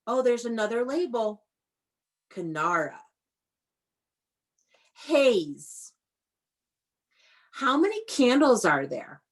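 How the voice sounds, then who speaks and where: A woman's voice: on the high side, sing-song, takes it slowly, pauses often. A woman teaching young children in an online read-aloud class, prompting them with questions and encouragement in an instructive way.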